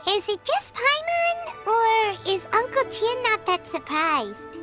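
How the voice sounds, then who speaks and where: A tiny childlike fairy sidekick from a video game, with an extremely high squeak. She is inquisitive and easily baffled, swinging widely in pitch at an unhurried pace over music. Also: sing-song, heavily acted. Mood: curious. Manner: refers to herself in third person, blunt questions. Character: small childlike fairy companion, squeaky, curious, easily confused.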